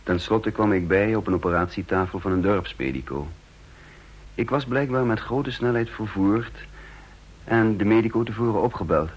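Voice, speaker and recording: Tense, low-voiced wounded secret agent. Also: male voice, normal speed, slightly rough, dull audio. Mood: weary, detached. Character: tense, wounded male secret agent narrating his own mission. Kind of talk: radio drama acting and first-person narration.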